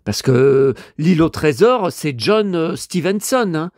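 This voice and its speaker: Man vlogger with a cold, rambling and exasperated, very lively intonation, dry close sound. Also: a little high, takes it slowly, no echo. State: stuffy head cold. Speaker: vlogger and collector. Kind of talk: casual monologue vlog.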